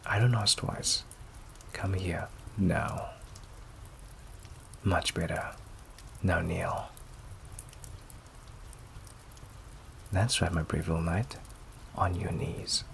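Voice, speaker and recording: Man acting a deep, gravelly, possessive vampire. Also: a little hiss or hum, exaggerated voice. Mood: commanding, pleased. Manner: slow, silky, often breathy near-whisper, condescending endearments. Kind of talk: ASMR roleplay acting. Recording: steady rain ambience behind the voice. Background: rain.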